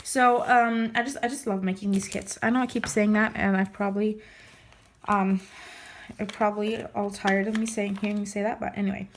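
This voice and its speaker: A woman chatting casually in a vlog, her voice slightly hoarse as she gets over losing it, rambling with many restarts and fillers. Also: medium pitch, flat delivery, normal speed, a little hiss or hum. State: recovering from lost voice, slightly hoarse. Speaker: scrapbooking vlogger. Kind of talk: casual show-and-tell chat.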